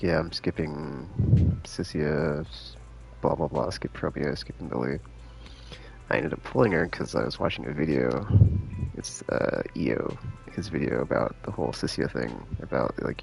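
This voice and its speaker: Very deep, gravelly male streamer. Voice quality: gravelly, creaky. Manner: laid-back, slangy, thinking out loud. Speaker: game streamer. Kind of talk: casual live-stream chat, rambling. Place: live stream with game music behind the voice.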